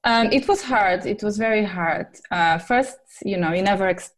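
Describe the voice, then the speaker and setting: A woman's voice: mid-range voice, normal speed. A middle-aged woman from Portugal, answering questions as a guest in a conversational interview. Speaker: podcast guest, academic editor.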